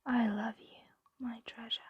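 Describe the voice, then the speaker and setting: A woman playing a possessive, doting dragon captor in an ASMR roleplay, whispering slowly and hypnotically close to the microphone. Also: on the high side, little pitch movement, dry recording, dull audio. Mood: tender, possessive. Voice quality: breathy whisper. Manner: slow, hushed, hypnotic coaxing. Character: possessive, doting female dragon captor soothing their pet.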